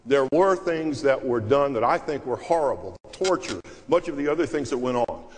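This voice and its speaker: A man's voice: medium pitch, lively intonation, moderate pace. A man, a former official on a debate panel, arguing bluntly and cutting in on others. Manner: combative, blunt, interrupting. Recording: reverberant hall, faint noise.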